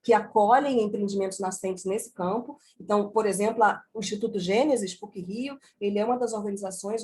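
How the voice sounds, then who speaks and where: Fast-talking woman, lively presenter. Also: mid-range voice. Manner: energetic, conversational, many asides. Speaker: book author presenting a chapter. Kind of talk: online book launch talk.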